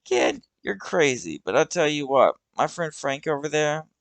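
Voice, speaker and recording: A boisterous old male vagrant who crows and jeers at people, pitched well above the teller's usual voice, voiced by a man. He swings his voice up and down as he mocks, lectures and brags. There is some gravel in the tone. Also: very animated, measured pace, no echo, exaggerated voice. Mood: mocking. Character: chatty old male vagrant, showy and crude.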